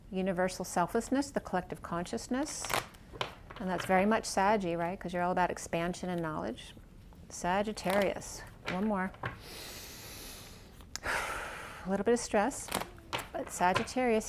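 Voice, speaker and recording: A woman reads tarot cards in a relaxed, rambling monologue. Her voice is wearing thin from overuse, and she talks through it with plenty of "um"s and loose asides. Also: medium pitch, noisy background. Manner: chatty, meandering, filler-heavy. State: losing her voice. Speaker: tarot reader. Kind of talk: casual tarot reading monologue.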